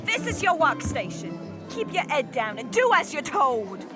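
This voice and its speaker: A woman's voice: high-pitched, big pitch swings, unhurried, over loud music. A woman acting a bossy, threatening workhouse overseer in an audio drama. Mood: stern, bossy.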